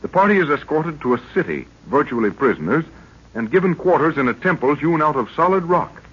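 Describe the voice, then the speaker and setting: A man's voice: fairly high pitch, sing-song, brisk, slightly rough. A man narrating an old radio adventure serial in a formal, dramatic announcer's manner. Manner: dramatic, formal recap delivery. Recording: muffled old radio recording.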